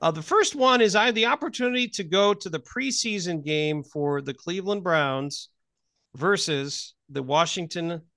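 A man's voice: a little high, animated, moderate pace, pushed and bright, dry recording, clean studio sound, soft background music. A man hosting a podcast in a casual, chatty style, asking questions and telling stories. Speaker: podcast host. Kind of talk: casual chat, interviewing and storytelling. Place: close, dry recording.

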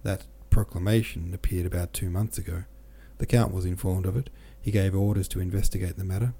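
A man voicing a gossipy, smiling adjutant in an audiobook, talking smoothly and at length. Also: low voice, mellow, exaggerated voice. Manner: smooth, talkative storytelling. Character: smiling gossipy male Russian adjutant, chatty.

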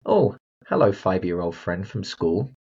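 A man's voice: low-pitched, expressive, unhurried, dark tone, breathy edge, highs cut off. A man hosting a motivational solo podcast, talking bluntly and directly to the listener. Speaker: podcast host and confidence coach.

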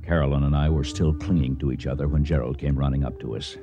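A very deep-voiced weary archaeologist. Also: male voice, flat delivery, fast, dull audio, music in the background. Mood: solemn, haunted. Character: weary male archaeologist recounting a tragic dig. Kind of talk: radio drama acting with first-person narration.

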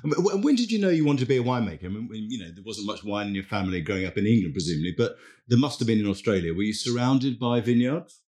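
A man hosts an interview podcast, talking quickly in a husky, fairly low voice. He keeps the questions moving in a brisk, chatty way. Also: lively intonation, darkish tone. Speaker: podcast host. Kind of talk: interview host chat.

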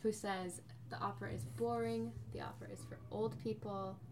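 A woman's voice: mid-range voice, steady tone, moderate pace. A young woman asks an interview question and lists objections one after another. A faint noise sits behind her voice.

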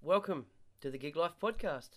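A man hosting a podcast, relaxed and matey, calling his guest "bro". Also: on the high side, normal speed, over loud music. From New Zealand. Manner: laid-back, matey, says "bro" a lot. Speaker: podcast host. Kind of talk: casual interview chat.

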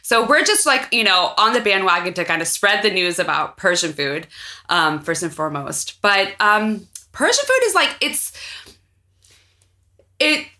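Bright-voiced woman, rambling casual chat. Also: medium pitch, very animated, measured pace. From United States. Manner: rambling, heavy use of fillers like "like" and "you know". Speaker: podcast guest.

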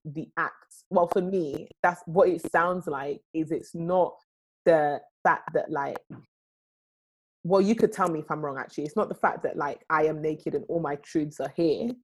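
A woman's voice: low voice, moderate pace, slightly rough, low-quality recording. A young woman in casual chat, talking at length in long runs with lots of fillers. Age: young adult. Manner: animated, talks in long runs with many fillers.

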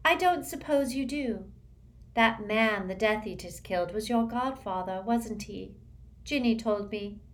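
A serene, unworldly teenage witch girl from a storybook, as a woman reader puts her on. Her voice floats up high and light, airy and lilting, saying strange things quite calmly. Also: measured pace, lightly acted. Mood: dreamy. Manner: airy, matter-of-fact, lilting.

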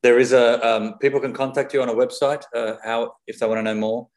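A man with an Australian accent hosts a conversational interview. His voice is medium in pitch. He speaks as a host putting questions to a guest. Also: steady tone, brisk, a little mellow.

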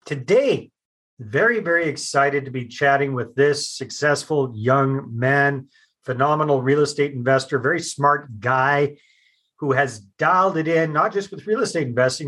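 A man hosts a podcast interview, full of upbeat praise and relaxed, casual questions. His voice sits a little high for a man and has a husky edge. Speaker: podcast host.

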